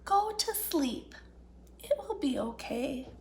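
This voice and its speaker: A woman's voice: sits high, big pitch swings, takes it slowly, exaggerated voice. A woman voicing a small, anxious and loyal young male fox in a children's storybook, with a worried, comforting manner. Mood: sleepy, whispering.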